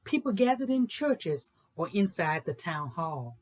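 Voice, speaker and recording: Expressive woman reading aloud. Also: fairly low pitch, unhurried. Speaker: host of a children's read-aloud show. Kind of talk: expressive picture book read-aloud in rhyming verse.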